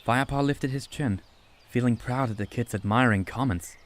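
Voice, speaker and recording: A man giving steady, scripted storybook narration for a fan-made audiobook. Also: mid-range voice, moderate pace, a little hiss or hum.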